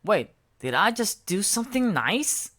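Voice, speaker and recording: A woman reader acts a blustery village constable from a picture book. He is officious with a soft center. His lines come out loud, deliberate and very animated. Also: mid-range voice, pitched above the performer's own voice. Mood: puzzled.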